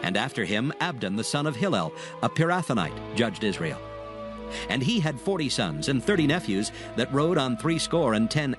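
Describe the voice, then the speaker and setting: A man narrating dramatized scripture in a solemn, formal, measured storytelling manner. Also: medium pitch.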